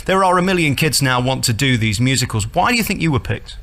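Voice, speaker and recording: A man hosting a radio interview, teasing and flattering his guests. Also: medium pitch. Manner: teasing, flattering, fast-talking. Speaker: radio host.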